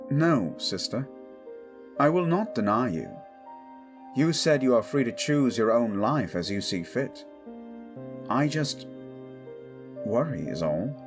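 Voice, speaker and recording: A man's voice: mid-range voice, big pitch swings. A man playing a protective, stubborn young king in a romantic audio drama, formal, earnest and tender. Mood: reluctant, worried. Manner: formal, measured, earnest phrasing. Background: background music.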